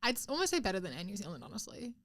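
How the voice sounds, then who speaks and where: A woman's voice: medium pitch, very animated, rapid-fire, a bit bright. A young woman co-hosting a podcast, chatting casually, laughing often and using lots of filler words. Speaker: podcast co-host. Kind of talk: casual chat.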